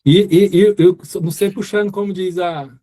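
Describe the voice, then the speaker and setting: Mellow-voiced man with a Goiás accent. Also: sits high, fairly even intonation, moderate pace. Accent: Goiás Brazilian Portuguese. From Goiás, Brazil. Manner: rambling, many fillers and restarts. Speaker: course instructor. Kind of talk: informal class talk.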